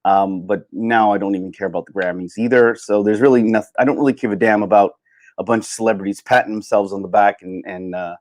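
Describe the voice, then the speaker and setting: Guy doing a solo livestream, kinda low voice, rambles and stops and starts, casual. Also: fairly even intonation, darkish tone. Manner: rambling, halting, thinking aloud. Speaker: livestream host.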